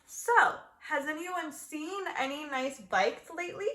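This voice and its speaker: A reasonable storybook father voiced by a woman reader, patient and measured. The delivery is bright and clearly meant to bargain gently with a child. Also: high-pitched, lively intonation, voice pushed up, exaggerated voice. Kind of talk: children's storybook read-aloud.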